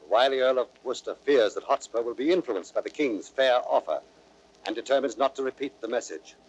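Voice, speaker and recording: A man's voice: mid-range voice, a little fast, breathy edge. A man reading scripted narration that links the scenes of an audio drama. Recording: dull band-limited sound, music underneath. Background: music.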